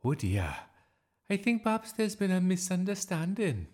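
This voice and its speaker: A performer voicing a flustered, gentle woman schoolteacher in a storybook, hesitant and fussy. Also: medium pitch, big pitch swings, moderate pace, voice pushed up. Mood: apologetic, anxious.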